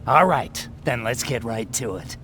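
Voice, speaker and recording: A man's voice: mid-range voice, expressive, unhurried, bright, forceful tone, slight background noise. A man voicing a confident resistance tech expert in a video game. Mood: assured. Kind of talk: acted video game dialogue.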